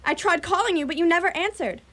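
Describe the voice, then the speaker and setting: A woman's voice: very high-pitched, fast talker, a bit bright. A woman playing a gushing, gossipy grown daughter in a radio play, chatty and dramatic. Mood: flustered, relieved. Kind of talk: community radio theatre acting.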